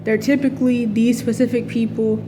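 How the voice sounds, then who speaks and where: A woman's voice: a little high, fairly even intonation, fairly quick, noisy background. A woman talking in a casual, rambling monologue, full of fillers and restarts. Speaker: vlogger.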